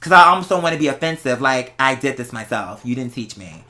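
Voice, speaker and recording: A vlog host chatting casually and candidly to viewers, telling stories in an animated, slangy way. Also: medium pitch, fast talker. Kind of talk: casual confessional chat to viewers.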